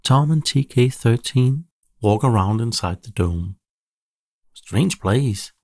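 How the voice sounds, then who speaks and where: A man narrating a children's bedtime story in a measured, animated storytelling delivery. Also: medium pitch, dry recording, studio quality.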